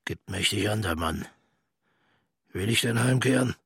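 An actor playing a bitter, hard, unrepentant Nazi war criminal in a radio play, with clipped, contemptuous, gravelly, often half-whispered inner monologue. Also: male voice, fairly low pitch, unhurried, a bit bright, no room sound, clean studio sound, heavily acted. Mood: scornful.

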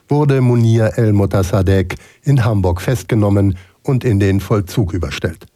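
A man's voice: lowish voice, warm tone. A man with a standard German accent from Germany, reading a scripted report as a radio news correspondent.

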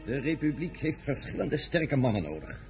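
An actor in a radio play as a general's scheming brother, husky, formally polite and insinuating. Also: male voice, mid-range voice, big pitch swings, moderate pace, a little mellow, faint music behind. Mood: smooth, insinuating. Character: scheming, courteous brother of an ambitious French general.

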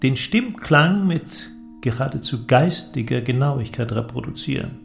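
Grandiose inventor in a radio play, really creaky voice, declaims slowly and deliberately, bit of music behind. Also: male voice, mid-range voice, expressive. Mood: lecturing, enthusiastic. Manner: declamatory, deliberate, ornate phrasing. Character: eloquent visionary male inventor, grandiose and persuasive. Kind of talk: German literary radio drama acting.

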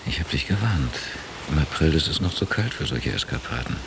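Low-voiced, coaxing boyfriend. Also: male voice, expressive, fairly quick, creaky, heavily acted. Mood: wry. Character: German holiday traveler Frank, impulsive, amorous, teasing boyfriend. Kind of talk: naturalistic radio drama dialogue. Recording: noisy cassette tape of radio play.

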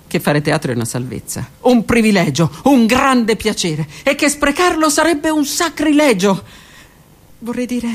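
A woman performing her own stage monologue, slow and dramatic, with pauses and hushed passages. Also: fairly high pitch. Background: music.

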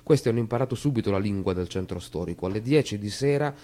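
An actor playing a wry, reflective storyteller from a poor southern old town, delivering a measured theatrical monologue. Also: male voice, on the low side, animated, warm tone, natural voice. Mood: evocative, descriptive.

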